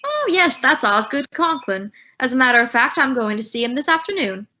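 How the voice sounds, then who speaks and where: Woman acting a bright, animated school superintendent. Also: high voice, brisk, exaggerated voice. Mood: courteous. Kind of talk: old-time radio comedy acting. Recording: dull lo-fi audio, some noise.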